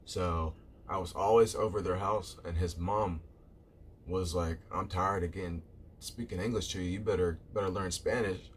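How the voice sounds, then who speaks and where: A man's voice: sits low, fairly even intonation, normal speed, a little hiss or hum. A man live streaming, chatting casually with viewers in a rambling way, repeating himself and calling them "bro".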